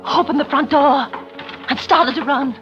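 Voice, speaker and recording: A dull-sounding vintage radio drama recording with music behind a very high-pitched, frantic wife. She is breathless, guilty and melodramatic, stammering as she pleads in classic suspense style. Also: measured pace. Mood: tense, haunted narration. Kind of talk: 1940s radio suspense acting.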